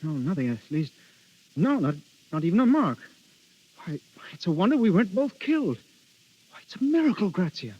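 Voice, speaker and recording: A man's voice: high voice, very animated, normal speed, long pauses, mellow, husky, heavily acted. An actor playing an ardent, earnest young nobleman in a theatrical vintage radio drama. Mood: shaken. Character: ardent young nobleman, a duke's son and anxious suitor. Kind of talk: 1930s-40s radio acting. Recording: muffled old radio broadcast recording.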